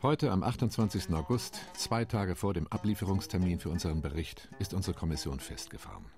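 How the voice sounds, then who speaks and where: An actor playing a calm, tactical delegate on a partition committee, speaking in a radio play monologue. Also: male voice, low-pitched, brisk, music in the background. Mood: measured.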